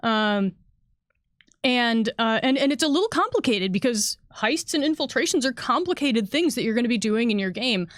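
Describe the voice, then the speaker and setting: A woman's voice: a little high, lively intonation, moderate pace, dry recording, clean studio sound. A woman talking casually as a game designer on a panel, explaining things in an informal, conversational way.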